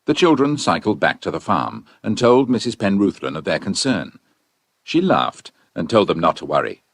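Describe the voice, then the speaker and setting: A man's voice: sits low, very animated, normal speed, no room sound. A man reading scripted audiobook narration as a storyteller.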